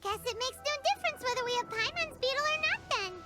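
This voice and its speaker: A woman voicing a tiny, cheeky, excitable childlike fairy companion in a video game, with a squeaky voice. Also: fast talker. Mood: skeptical. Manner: squeaky, speaks of herself by name. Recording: loud game music behind the voice.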